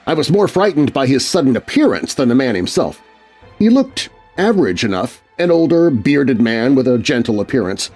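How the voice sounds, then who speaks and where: A man telling a scary story with dramatic, suspenseful delivery. His husky voice swings widely in pitch to build tension, and faint music plays underneath. He reads at a mid pitch, fully in storyteller mode. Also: sing-song, normal speed, darkish tone. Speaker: narrator. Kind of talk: scripted horror story narration.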